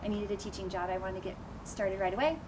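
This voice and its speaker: A woman teacher answering interview questions about her life in a relaxed, thoughtful way, with frequent pauses. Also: medium pitch. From United States. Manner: thoughtful, with frequent ums and light laughs. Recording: noisy background ambience.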